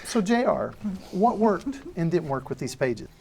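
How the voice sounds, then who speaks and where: A man's voice: high-pitched, big pitch swings, unhurried, gravelly, a little mellow, a little hiss or hum. A man hosting a live panel, moderating feedback after a table read. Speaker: host.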